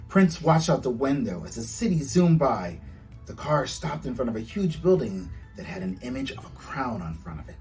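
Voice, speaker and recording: A man reading his children's storybook aloud with expressive, storytelling delivery. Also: medium pitch, distant mic, poor audio. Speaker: author reading own book aloud.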